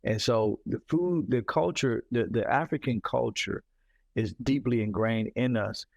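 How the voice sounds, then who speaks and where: A man from Mississippi, telling stories in an animated casual conversation and often repeating himself. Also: medium pitch, unhurried, vocal fry, no echo, lo-fi sound. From Mississippi, United States. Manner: animated storyteller, repeats words, says "you know what I'm saying". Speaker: podcast host.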